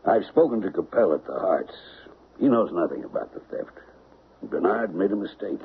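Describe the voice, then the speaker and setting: A man playing a crafty, smooth-talking diamond merchant in a radio mystery, with measured, deliberate, courteous speech. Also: low-pitched, expressive, exaggerated voice. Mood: smooth reassurance. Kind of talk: old-time radio mystery acting. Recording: muffled vintage radio broadcast, faint hiss.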